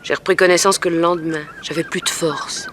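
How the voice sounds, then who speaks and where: An actress playing a young, submissive streetwalker in a 1960s radio drama, resigned and plaintive. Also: female voice, lowish voice, wildly swooping pitch, brisk, a bit bright. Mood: resigned, uneasy. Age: young adult. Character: weary, frightened, submissive young streetwalker under a pimp's hold. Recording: faintly noisy old radio recording.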